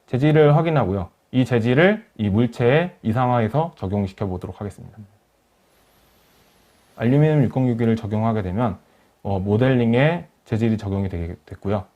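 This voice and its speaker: A man narrating a software tutorial as a webinar instructor, explaining each step in a polite, formal way. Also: mid-range voice, animated, a little fast. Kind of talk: software tutorial narration over screen demo. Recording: dull lo-fi voice recording.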